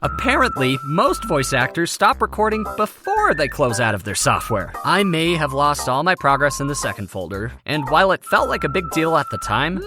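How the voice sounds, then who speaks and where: A man's voice: on the high side, very animated, normal speed, hardly any pauses. A man narrating his own video project from a script, comedic, with dry self-deprecating asides. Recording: music under the voice. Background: background music.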